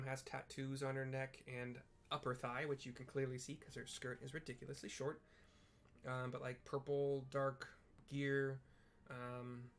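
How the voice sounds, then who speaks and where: A man hosting a gaming video, describing scenes in a casual, offhand and halting colloquial way. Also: mid-range voice, creaky.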